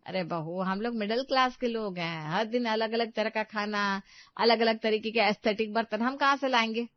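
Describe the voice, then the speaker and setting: A woman voicing a patient, practical middle-class mother-in-law in an animated moral story. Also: on the high side, moderate pace, dry recording, muffled recording, lightly acted. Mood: patient, reasoning.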